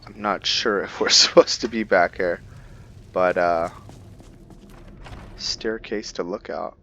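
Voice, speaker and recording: A man giving casual let's-play commentary, curious and thinking out loud, now and then dropping to a hushed whisper. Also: low voice, fairly even intonation, slow, muffled recording, a little hiss or hum. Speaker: gameplay commentator.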